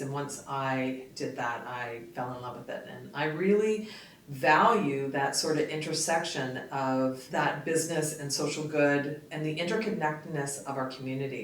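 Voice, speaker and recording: A woman raised in Canada after a childhood start in the Bahamas, telling her story in a reflective way. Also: low-pitched. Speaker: guest, co-founder. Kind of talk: casual interview. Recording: roomy, distant mic, faint noise.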